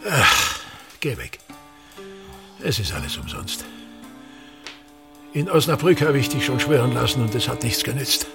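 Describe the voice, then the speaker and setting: An actor playing a pompous, tyrannical older theatre manager in a radio drama, declaiming scornfully in a gravelly, husky voice. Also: male voice, medium pitch, very animated, unhurried, long pauses, over music. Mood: contemptuous. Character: pompous, tyrannical ageing male theatre actor-manager berating his son.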